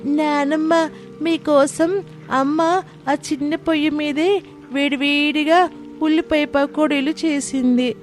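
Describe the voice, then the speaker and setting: A high, childlike voice of an innocent young grandchild played by a woman. The child talks earnestly and affectionately, like a storybook drama, with light music in the background. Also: fairly even intonation, takes it slowly, pauses often. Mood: eager. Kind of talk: dramatised Telugu moral story.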